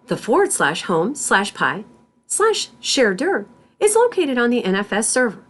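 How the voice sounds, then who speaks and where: A woman instructor reading scripted tutorial narration in a deliberate, step-by-step manner. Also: a little high, wildly swooping pitch, a bit bright. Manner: deliberate, spells out terms symbol by symbol.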